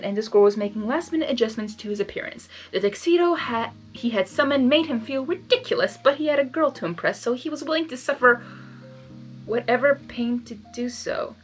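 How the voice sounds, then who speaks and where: Woman, high voice, lively intonation, story narration, casual expressive asides, music in background. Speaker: reader hosting a fan fiction reading.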